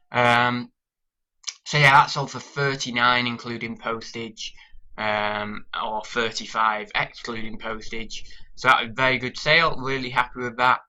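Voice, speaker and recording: British guy w a cold, sniffly, rambly ums, flat voice, kinda muffled recording. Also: medium pitch. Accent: British English. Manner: rambling with many ums and restarts. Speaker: online reseller vlogger. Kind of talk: casual sales update vlog.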